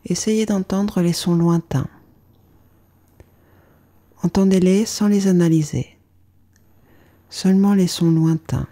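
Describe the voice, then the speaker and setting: A woman guides a relaxation practice as a yoga instructor. Her voice sits a little low, with a dark, relaxed tone. She speaks very slowly and calmly, letting phrases fall away softly. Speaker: yoga nidra meditation instructor. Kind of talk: guided meditation narration.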